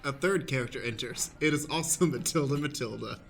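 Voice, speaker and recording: A man's voice: mid-range voice, fairly quick, vocal fry, fairly bright tone, faint music behind. A man narrating improvised stage directions as the stage manager of a radio play.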